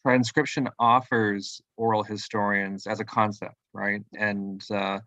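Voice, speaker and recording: A man's voice: on the low side, flat delivery, unhurried, pushed and bright, no room sound, highs cut off. A man asking the panel a question in a hesitant way, repeating words as he goes. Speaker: audience questioner.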